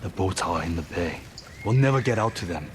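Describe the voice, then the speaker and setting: Proud, stubborn warrior chief for game cutscenes: low, commanding, wry edge, clear background noise. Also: male voice, expressive, a little fast. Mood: skeptical. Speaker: voice actor in a samurai video game. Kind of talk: cinematic video game dialogue. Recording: game dialogue under clear ambient noise.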